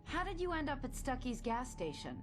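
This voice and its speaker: An actress playing a steady small-town sheriff in a video game, questioning in a firm, official way. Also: female voice, sits high, a little fast, over music. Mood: firm concern.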